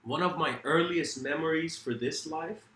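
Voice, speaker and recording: A man's voice: on the high side, moderate pace, a bit bright, echoey room. A man from Iran whose first language is Farsi, giving a reflective philosophical talk in a rambling, self-correcting way with many fillers. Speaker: speaker.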